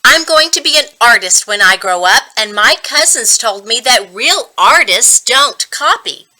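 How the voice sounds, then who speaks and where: A woman's voice: a little high, expressive, unhurried, sharp tone, voice pushed up, full character voice. A woman reading a storybook as an indignant young schoolboy, earnest and protesting. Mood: defiant. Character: indignant first grade boy who wants to be artist.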